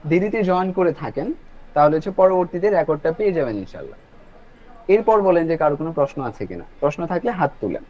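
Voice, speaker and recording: High-voiced man presenting, dull audio. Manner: instructive, addresses listeners directly. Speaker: webinar host and presenter. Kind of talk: explanatory online presentation. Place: online video meeting. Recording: dull lo-fi online call audio.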